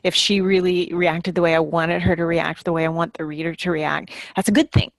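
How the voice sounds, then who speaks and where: A woman with a lowish voice talks casually about the craft of fiction. She is deliberate, doubling words to stress a point. The recording is dry and free of room sound. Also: steady tone, no room sound. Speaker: fiction writer and podcast panelist. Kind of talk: casual podcast chat about writing.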